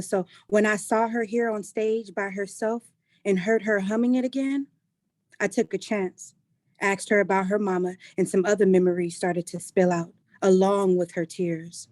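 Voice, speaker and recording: A woman's voice: medium pitch, darkish tone, no echo, lightly acted. Woman acting an earnest, caring sister, dry close sound. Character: caring, perceptive sister confiding about her grieving mother. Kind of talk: staged reading of a play.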